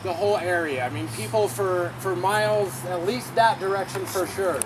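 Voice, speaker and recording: A man's voice: sits high, moderate pace. A man rallying a crowd outdoors, joking and rambling loosely as he sets up a group scream. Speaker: rally organizer leading the crowd. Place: outdoor rally in a city at night. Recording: outdoors with loud crowd noise.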